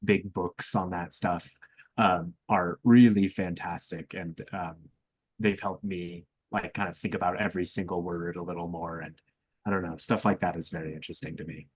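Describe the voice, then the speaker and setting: A man's voice: low voice, steady tone, normal speed, husky, dry recording. A young man in a casual discussion on a student podcast, talking in a hesitant, thoughtful, informal way.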